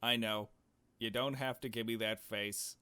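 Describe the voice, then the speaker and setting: An earnest young captive from a visual novel, acted out by a man, frightened and trapped. The voice sits fairly low and is recorded dry and close. The delivery is halting and pleading, read as dramatic game dialogue. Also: fairly even intonation, sharp tone, clean tone, no room sound. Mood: pleading, apologetic.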